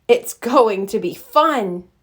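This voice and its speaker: A woman's voice: a little high, expressive, slow pace. A woman voicing an eager, earnest young boy bear in a children's storybook. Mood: excited. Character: eager, excitable young boy bear, proud then upset.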